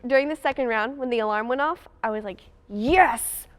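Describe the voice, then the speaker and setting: A woman's voice: on the high side, moderate pace. A teenage young woman telling casual anecdotes in an interview, expressively acting out what people said. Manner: expressive, acts out quotes. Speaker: student in a theater cast.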